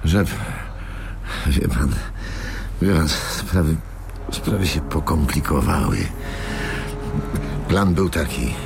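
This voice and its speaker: Radio drama: weary, grim plotter, low creaky voice, halting delivery. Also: male voice, animated, music in the background, heavily acted. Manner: halting, repeating words. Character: grim, weary man confessing a revenge plan.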